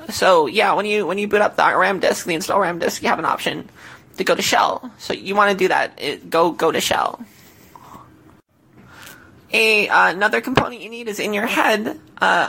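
A woman podcast host with a hoarse, failing voice tells a tech story casually, in a halting, self-correcting way. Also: mid-range voice, fairly bright tone, low-quality recording. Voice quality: hoarse, gravelly. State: hoarse, losing voice.

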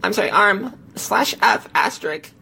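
Hoarse, creaky woman podcaster. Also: mid-range voice, animated, normal speed, bright, forceful tone, a little hiss or hum, low-quality recording. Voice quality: hoarse, gravelly. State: hoarse, losing voice. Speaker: podcast host. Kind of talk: casual tech storytelling.

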